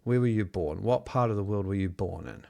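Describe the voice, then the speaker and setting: A man's voice: lowish voice, animated, a little fast, vocal fry, darkish tone. Upbeat man leading a Zoom workshop. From New Zealand. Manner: upbeat, encouraging, reads out chat replies. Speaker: online business trainer. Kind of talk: live Zoom workshop teaching. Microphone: video call.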